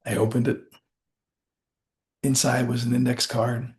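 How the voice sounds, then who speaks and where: A man giving a rehearsed motivational speech as a storyteller, speaking deliberately in short phrases with dramatic pauses. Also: medium pitch, little pitch movement, darkish tone.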